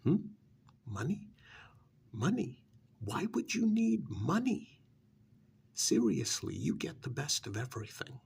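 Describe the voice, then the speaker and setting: A man playing a smug, persuasive vampire's familiar in an ASMR role-play, coaxing like a sardonic salesman in a breathy, gravelly, often whispered voice. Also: very animated, full character voice. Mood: incredulous. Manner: coaxing, sardonic sales pitch with drawn-out emphasis.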